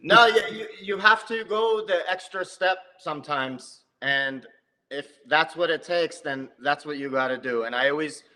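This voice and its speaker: A man from New Jersey, a comedic video creator, chatting lively in an interview and quick to tell stories. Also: a little high, long reverb tail, poor audio. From New Jersey, USA. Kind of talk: casual interview chat.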